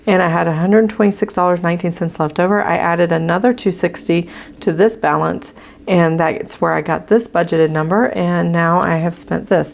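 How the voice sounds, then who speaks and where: A woman with a low, gravelly voice that is hoarse. She chats casually through her budget sheets as a channel host and fills her pauses with ums while she writes. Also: darkish tone, a little hiss or hum. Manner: chatty with frequent ums, talking while writing.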